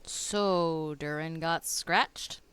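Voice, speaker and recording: A woman's voice: deep voice, extremely slow, fairly bright tone, smooth. A woman streamer giving casual reaction commentary while playing a story-driven video game. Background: game music.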